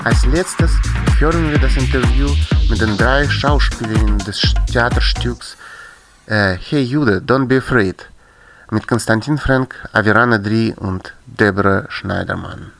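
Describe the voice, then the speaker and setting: A man announces a radio segment in a scripted style. His voice is gravelly and creaky, with electronic music playing clearly underneath. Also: medium pitch, a little slow.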